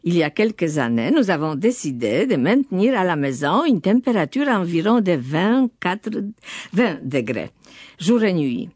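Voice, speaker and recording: Older woman, lowish voice, measured delivery, dry sound. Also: big pitch swings, a little mellow, no room sound. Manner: measured, careful, occasional hesitations. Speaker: radio studio guest. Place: studio.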